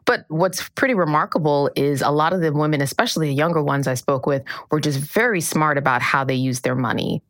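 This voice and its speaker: Low-voiced woman reporter explaining. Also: no room sound, clean studio sound. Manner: clear, measured, explanatory. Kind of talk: explaining in a news podcast interview.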